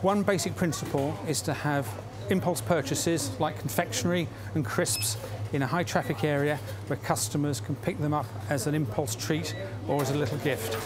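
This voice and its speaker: Highish British male presenter over music. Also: steady tone, normal speed. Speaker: retail adviser presenting. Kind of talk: instructional presenting. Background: background music.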